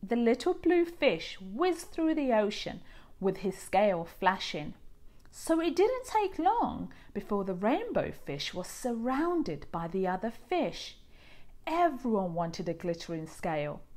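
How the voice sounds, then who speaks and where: Slow, very animated woman storyteller. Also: mid-range voice. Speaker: children's storybook reader. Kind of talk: expressive scripted narration for children.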